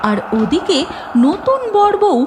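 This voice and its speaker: A woman reading scripted cartoon story narration in an expressive, dramatic way. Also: very high voice, takes it slowly, background noise.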